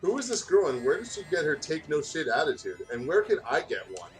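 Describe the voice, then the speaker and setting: Animated man reading fan fiction aloud. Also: fairly high pitch, brisk, soft background music. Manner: animated, stumbles over words while reading. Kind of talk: casual fan fiction read-aloud with joking asides.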